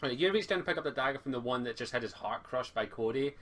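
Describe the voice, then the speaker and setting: A man with a Scottish accent improvising narration as game master, in a halting, informal way. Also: mid-range voice, lively intonation, a bit bright. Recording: faint noise and clicks behind voice.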